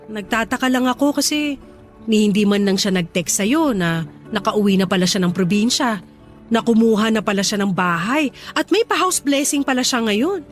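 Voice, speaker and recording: An actress with a Filipino accent playing a cautious, doubtful wife with a questioning, hesitant manner in radio drama dialogue. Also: female voice, fairly high pitch, expressive, moderate pace, natural voice. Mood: doubtful, uneasy. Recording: faint music under dialogue. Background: faint music.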